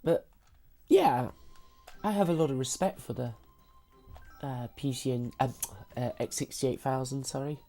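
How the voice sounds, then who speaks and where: Casting: woman game streamer, low mellow husky voice as if getting over sickness, casual and apologetic, music behind. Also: measured pace. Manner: self-deprecating, apologetic, giggly. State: recovering from sickness. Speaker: live streamer playing retro games. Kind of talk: casual live-stream gaming chat.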